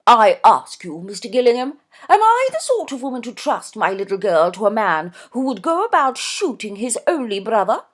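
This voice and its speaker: Casting: gushing plump middle-aged mother, shrill and insistent, read by a woman. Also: sing-song, moderate pace, few pauses. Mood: emphatic. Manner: insistent, fussy, high-pitched exclamations.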